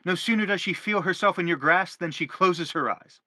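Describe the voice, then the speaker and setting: A man's voice: high-pitched, normal speed, pushed and bright, no room sound, studio quality. An actor playing a middle-aged father in a stage play, formal and declamatory as he anguishes and justifies himself. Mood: insistent. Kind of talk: theatrical stage play acting.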